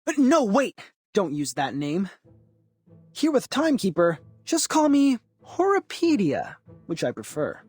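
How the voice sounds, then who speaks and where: Young male game character: theatrical, persuasive chatterbox with very lively pitch, music underneath. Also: unhurried, husky, full character voice. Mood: flustered, insistent. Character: chatty, theatrical young man, eager travel-loving colleague. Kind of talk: video game voice acting.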